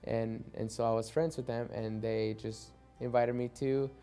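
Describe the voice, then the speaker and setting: A young man from Mexico with a mellow, dark voice whose first language is Spanish. He talks in an even, flat tone and often repeats words as he restarts. Soft guitar music plays behind him. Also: medium pitch, normal speed, clear, resonant tone. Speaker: soccer player. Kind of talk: documentary interview.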